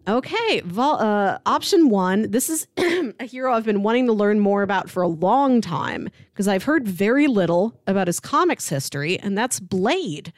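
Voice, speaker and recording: A woman's voice: mid-range voice, big pitch swings, normal speed, hardly any pauses, no room sound, clean studio sound. A woman co-hosting a podcast, talking casually and reading out short blurbs. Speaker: podcast co-host.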